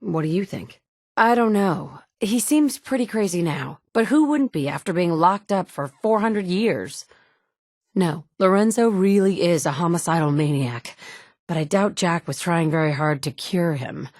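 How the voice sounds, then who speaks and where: A woman's voice: fairly low pitch, animated, measured pace, a little mellow, no room sound, clean studio sound. An actress playing a determined, guarded woman survivor in a video game, speaking in a clipped, matter-of-fact way. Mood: cool, wry. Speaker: voice actor for a video game player character. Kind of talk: video game dialogue lines.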